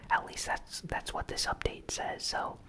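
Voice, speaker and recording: A host whispering an ASMR news recap in a hushed, unhurried way, entirely without voicing. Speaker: host of a gaming news channel.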